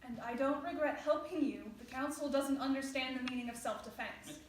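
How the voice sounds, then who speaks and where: Wistful, weary lover played by a woman, high register, earnest staged reading, faint noise. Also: fairly quick, close to an everyday voice. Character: weary, loving reincarnated soulmate, woman, wistful and dreamy. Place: indoor stage. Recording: very echoey room, faint noise.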